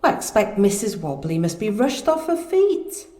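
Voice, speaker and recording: A woman playing a sheltered, spirited young princess in a radio play, chatty, polite and expressive. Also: medium pitch, normal speed, lightly acted. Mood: polite, grateful. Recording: echoey, reverberant cell.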